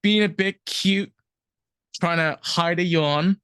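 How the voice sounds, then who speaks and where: A woman with a New York accent co-hosting a podcast, animated and playfully defensive, stumbling over quick restarts. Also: fairly low pitch, clear, resonant tone, no echo. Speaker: podcast co-host. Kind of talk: casual chat.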